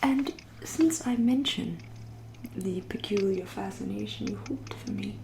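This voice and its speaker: Slow, whispery English vampire woman, acted out by a woman. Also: mid-range voice, background noise. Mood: polite, intrigued. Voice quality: soft, breathy half-whisper. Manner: slow, deliberate, politely menacing. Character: centuries-old female vampire, genteel, teasing, coolly predatory. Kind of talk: ASMR roleplay, whispered and soft-spoken.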